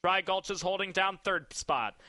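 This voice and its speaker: A male race caller with a very bright, crisp, ringing voice delivers a rapid race call. The sound is dry and close, and his tone carries a driving intensity. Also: sits high, no echo. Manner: rapid, building excitement.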